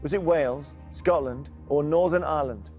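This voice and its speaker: A middle-aged man hosting a television quiz show, brisk and jokey as he reads out the questions. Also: fairly high pitch, darkish tone, soft background music.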